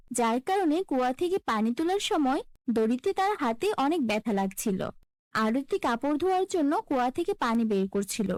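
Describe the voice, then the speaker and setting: High-pitched, animated woman storyteller. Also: no room sound. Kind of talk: scripted fairy-tale narration.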